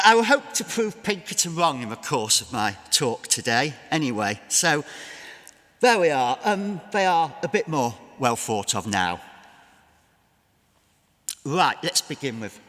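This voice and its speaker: A middle-aged English man talks through his slides in a relaxed, self-mocking way, often filling pauses with ums. His voice sits at an ordinary pitch. It rings out with the long reverb of a big church, heard from a distance. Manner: chatty, self-deprecating, with frequent ums. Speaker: historian giving a public talk. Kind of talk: public lecture with slides. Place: large church with a seated audience.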